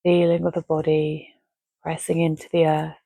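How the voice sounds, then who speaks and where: A woman guiding a relaxation meditation in a gentle, measured, soothing way, leaving long pauses between phrases. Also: deep voice, completely flat delivery, vocal fry, no room sound. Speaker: meditation guide. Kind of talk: guided Yoga Nidra relaxation, soothing and measured.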